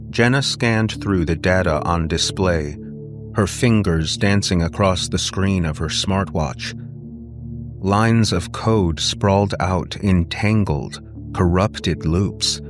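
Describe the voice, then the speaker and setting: Deep, slow-paced man narrating dramatically. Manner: deliberate, suspenseful. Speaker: audiobook narrator. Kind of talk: dramatic scripted story narration.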